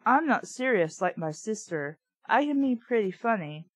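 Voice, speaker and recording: A woman's voice: mid-range voice, very animated, normal speed, husky, dry recording. A woman reading a book aloud casually, with blunt, sweary, opinionated off-the-cuff commentary. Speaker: reader and commentator of a children's book.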